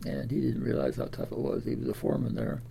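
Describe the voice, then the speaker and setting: Gravelly ninety-year-old Midwestern American man. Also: mid-range voice, fairly even intonation, dark tone, a little hiss or hum. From Des Moines, Iowa, United States. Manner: rambling, halting recollection with repeated words. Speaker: interviewee, World War Two veteran. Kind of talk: oral history reminiscence.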